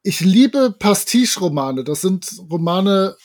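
A man with a high-pitched voice chats casually as a podcast guest. His delivery is playful and jokey, in loose everyday conversation. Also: big pitch swings, measured pace, darkish tone.

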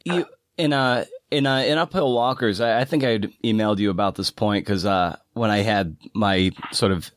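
A man hosting an interview in a casual, informal way, haltingly circling back over his phrases. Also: fairly low pitch, dry recording, clean studio sound. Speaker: podcast host, interviewer.

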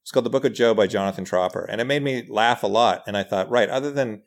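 A man in a casual podcast discussion who thinks aloud, often halting and restarting his phrases. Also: fairly low pitch. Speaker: podcast guest, comedy writer.

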